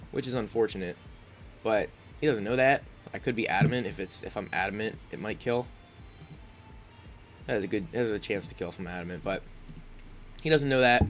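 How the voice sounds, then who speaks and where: A congested man giving casual commentary on his own game, thinking aloud with frequent ums and restarts. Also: lowish voice. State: congested, sniffling. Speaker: gaming YouTuber.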